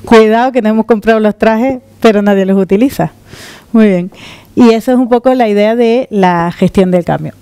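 A middle-aged woman from the Canary Islands giving a lively conference talk on stage with a handheld mic. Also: medium pitch, normal speed, clear, resonant tone, no room sound. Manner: lively, gesturing while walking on stage. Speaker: conference speaker. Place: conference room with audience. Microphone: handheld microphone.

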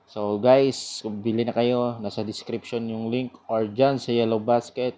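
A man giving a casual product review in an informal, sales-pitch manner. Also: little pitch movement, a little slow, dark tone.

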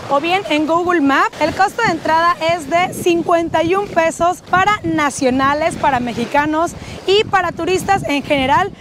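A young woman vlogging on a sunny beach and in the shallow sea, talking excitedly to her viewers through an action camera held at arm's length. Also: very high-pitched, moderate pace, noisy background. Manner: enthusiastic, addresses viewers as family. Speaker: travel vlogger. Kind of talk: upbeat travel vlog to camera.

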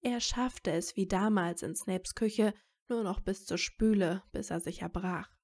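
A woman's voice: medium pitch, unhurried, darkish tone. A woman reading scripted narration for a fan fiction audio story.

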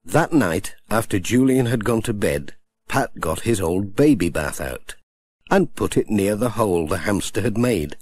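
A man reading a children's storybook aloud with gentle, expressive storytelling. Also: on the low side, measured pace, breathy edge. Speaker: audiobook narrator.

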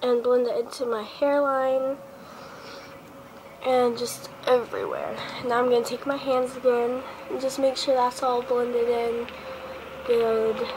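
A teenage girl with a slightly high voice, stuffy and sniffly from a cold, chatting her way through a makeup routine. She speaks close to a small device mic in her bedroom, with a faint hiss of noise behind her. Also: expressive, unhurried. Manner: chatty, step-by-step, talking while applying makeup. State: sick and sniffly. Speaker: beauty vlogger. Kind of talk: casual makeup tutorial. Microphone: handheld device camera close up.

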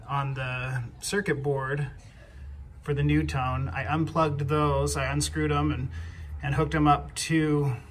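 Halting man explaining, noisy background. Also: on the high side, a bit bright. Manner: halting, repeats words while explaining. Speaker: DIY homeowner. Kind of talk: casual hands-on tutorial. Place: outdoors by a house front door. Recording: clear background noise, outdoor ambience.

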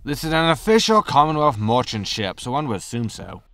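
A man's voice: mid-range voice, big pitch swings, fairly quick, fairly bright tone, dry recording, clean studio sound. A man playing a greedy, breezy, disgraced space haulage captain in a sci-fi comedy audio drama, flippant and full of excuses. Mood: matter-of-fact. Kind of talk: science fiction comedy audio drama acting.

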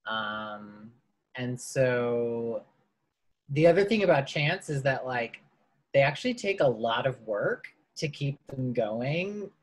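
A person from Wisconsin speaking loudly and enunciating every word clearly in an earnest workshop discussion on a video call. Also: medium pitch, animated, slow. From Madison, Wisconsin, USA. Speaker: workshop participant.

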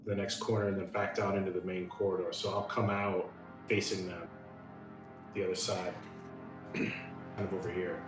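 A man's voice: deep voice, little pitch movement, moderate pace, pauses often. A man playing a tabletop roleplaying game, casually describing what his character does. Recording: echoey room, music behind.

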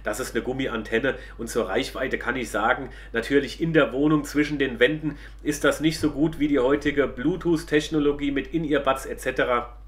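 A man's voice: mid-range voice, steady tone, normal speed. A middle-aged man, casually explaining a product to viewers as he reviews it. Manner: explanatory, addresses viewers directly.

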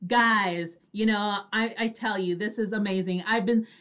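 A woman's voice: mid-range voice, fairly even intonation, measured pace, a bit bright. A woman hosting an online radio show in casual chat over a video call.

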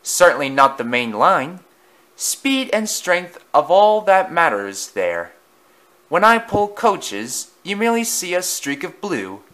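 A man's voice: high voice, big pitch swings, slow pace, a bit bright, voice pushed up, full character voice. A man voicing a pompous, boastful big male steam engine who talks in a grand, haughty way.

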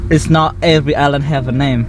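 A man's voice: a little high, steady tone, quick pace, background noise. Hesitant young man with Indonesian accent. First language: Javanese. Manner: hesitant, many restarts and fillers. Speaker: travel vlogger host. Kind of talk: casual chat in halting English. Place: inside a camper van at night.

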